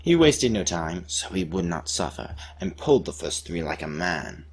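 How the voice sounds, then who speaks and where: A man's voice: low-pitched, very animated, moderate pace, creaky, slightly rough, heavily acted. Young man putting on a pompous mock-heroic balladeer. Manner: pompous, theatrical, emphatic. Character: mock-heroic balladeer, grandly recounting a young man's misadventure. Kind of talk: dramatic recitation of a comic rhyming ballad.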